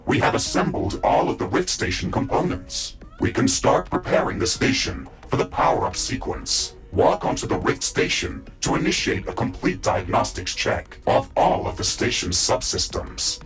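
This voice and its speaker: An actor playing a calm, matter-of-fact male AI of a mecha suit in a sci-fi game, with clipped, formal technical reporting and a synthetic delivery. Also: mid-range voice, moderate pace, few pauses, soft background music. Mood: procedural, flat report. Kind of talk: scripted sci-fi game dialogue.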